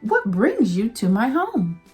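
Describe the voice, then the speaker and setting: Gentle storybook grandma, slow and soft, slightly high, read by a woman. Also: huge pitch swings, faint music behind, exaggerated voice. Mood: surprised.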